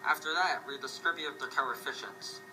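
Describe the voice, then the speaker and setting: A man's voice: fairly high pitch, moderate pace, over music, low-quality recording. A young man giving a scripted math lesson, reading it out as a student presenter. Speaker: student presenter in a puppet show. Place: classroom.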